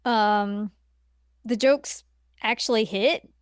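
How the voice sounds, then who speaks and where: A woman's voice: a little high, slow pace, clear, resonant tone, no echo, clean studio sound. A woman co-hosting a podcast, chatting informally and agreeably, peppering her talk with "like". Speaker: podcast co-host. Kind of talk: casual chat reviewing movies.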